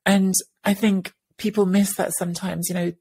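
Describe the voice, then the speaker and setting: A woman's voice: mid-range voice, level tone, moderate pace, darkish tone, breathy edge. A woman in her thirties co-hosting a podcast over a video call, talking thoughtfully with many restarts and fillers. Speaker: podcast co-host. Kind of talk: casual podcast discussion. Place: home room with bookshelves, indoors. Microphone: earphone headset on video call.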